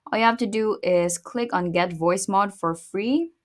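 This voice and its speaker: A woman hosting a software tutorial, narrating casual step-by-step instructions. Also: medium pitch, measured pace. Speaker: tutorial host.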